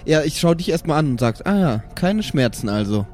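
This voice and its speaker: A man's voice: on the high side, very animated, a little fast, darkish tone. A man chatting casually and improvising as a player in a tabletop role-playing game. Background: background music.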